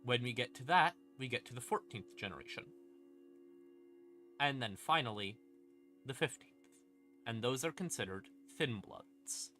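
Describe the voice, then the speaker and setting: A male streamer with a bright, punchy voice explains game lore in a casual, conversational way. He leans on words for dramatic emphasis and drops in informal asides and swearing. Faint creepy ambient music plays behind him. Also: medium pitch, lively intonation, measured pace, pauses often. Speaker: streamer, host.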